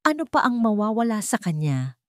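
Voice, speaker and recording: A woman's voice: on the high side, big pitch swings, moderate pace, dry recording, studio quality, pitched above the performer's own voice. Woman playing a stern, grieving rich mother. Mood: cold, resolute. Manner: quiet, weighty, firm. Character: grieving billionaire mother, stern, cold, commanding.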